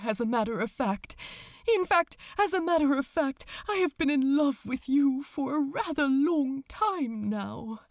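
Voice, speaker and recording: A woman's voice: high-pitched, darkish tone, dry recording, studio quality, voice pushed up, heavily acted. A woman voicing an anxious, formal British male angel in an audiobook, earnest and lovestruck, speaking precisely and hesitantly. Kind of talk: audiobook character dialogue.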